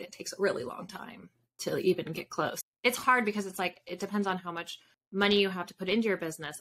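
A woman's voice: on the low side, fairly bright tone, a little hiss or hum. A woman in her thirties speaking informally as an interview guest, thinking aloud as she answers on a video call. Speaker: guest, fiction author. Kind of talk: casual interview chat. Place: home room with bookshelves, on a video call.

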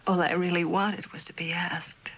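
An actress playing a worried, devoted wife in a mid-century television drama, hesitant and earnest. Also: female voice, lowish voice, flat delivery, moderate pace, over music, lightly acted. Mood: sad, tender. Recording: faint noise, old television soundtrack.

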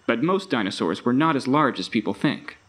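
A lively park tour narrator. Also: male voice, mid-range voice, a little fast. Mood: welcoming. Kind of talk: recorded tour announcement.

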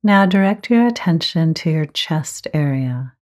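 Slow, low-voiced woman guiding meditation. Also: expressive. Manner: gentle, measured instruction with frequent pauses. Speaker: meditation teacher. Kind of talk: guided meditation.

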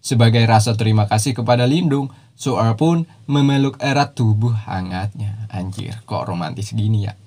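A man's voice: fairly low pitch, moderate pace, darkish tone. Jokey man narrating a recap. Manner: jokey, teasing asides with slang and laughs. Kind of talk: casual slangy anime recap narration. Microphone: voice-over.

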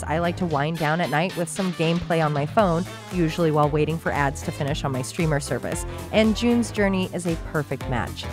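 Woman reading a podcast ad over music. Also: lowish voice. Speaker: podcast host. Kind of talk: scripted ad read.

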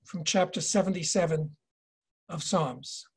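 A man's voice: on the high side, vocal fry, breathy edge. Older man giving a virtual tour. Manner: measured, explanatory, reads inscriptions slowly. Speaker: tour guide and educator. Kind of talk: virtual tour lecture over slides. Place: home office, indoors. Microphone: video call webcam.

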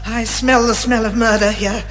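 An actress playing a brisk, commanding older British lady detective in a theatrical radio detective comedy. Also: fairly high pitch, steady tone, fairly bright tone, faint music behind, lightly acted. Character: bossy, eager older lady amateur detective, a retired major.

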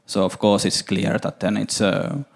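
A middle-aged man with a Finnish accent answers audience questions on a conference stage into a handheld mic, measured and hesitant, with many fillers. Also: on the low side, vocal fry. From Finland. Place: conference stage, large hall.

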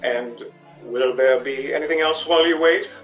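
A man's voice: mid-range voice, normal speed, fairly bright tone, soft background music, natural voice. Man acting formal waiter, roomy distant sound. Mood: deferential. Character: deferential waiter secretly in league with villains. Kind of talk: period radio play acting. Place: at home on a video call. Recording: roomy, distant home video-call sound.

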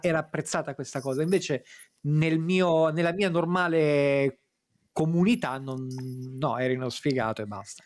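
A man chatting casually as a guest on a group stream, telling a story in halting, piecemeal phrases. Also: on the high side. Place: indoor room, group video call.

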